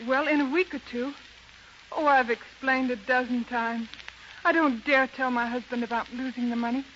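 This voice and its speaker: A woman's voice: high-pitched, fairly even intonation, normal speed, a bit bright. A woman playing a frightened, desperate young married woman in a 1930s radio drama, pleading melodramatically. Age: young adult. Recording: dull, crackly 1930s radio recording.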